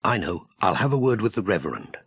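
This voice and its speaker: Chirpy village postman, played by a male storybook reader: friendly, practical, animated, a little husky. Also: deep voice, fast, no room sound, clean studio sound, full character voice. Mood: bright, eager. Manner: chirpy, practical, full of ideas. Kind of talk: children's storybook dialogue.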